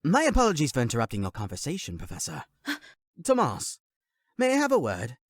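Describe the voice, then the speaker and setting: A man's voice: fairly high pitch, wildly swooping pitch, brisk, dry recording, studio quality. A man playing a stern, guarded monastery official in a comic dub, speaking earnestly in formal, old-fashioned phrases. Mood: formal, composed. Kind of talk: dramatic voice acting for a comic dub.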